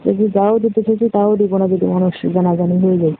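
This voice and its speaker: A woman's voice: medium pitch, fairly even intonation, brisk. A woman with a Bangladeshi accent in a casual phone conversation, bantering with cheeky retorts. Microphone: phone call. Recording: tinny phone line with noise.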